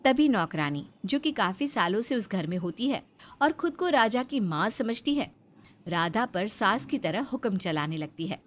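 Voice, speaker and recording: A woman's voice: medium pitch, very animated, normal speed, long pauses. A woman reading scripted narration for a story as its narrator.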